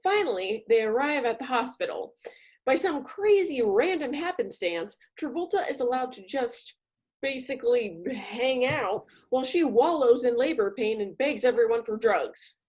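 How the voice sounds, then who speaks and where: A woman narrating a comedy script with wry asides. Her voice sits very high and moves around with lots of melody. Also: animated, moderate pace, darkish tone, slightly rough, rough recording.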